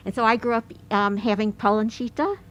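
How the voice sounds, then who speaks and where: A woman's voice: on the high side, fairly quick. A woman from Detroit with an American accent, telling a conversational personal anecdote as an audience member before asking a question. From Detroit, United States. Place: bookstore event.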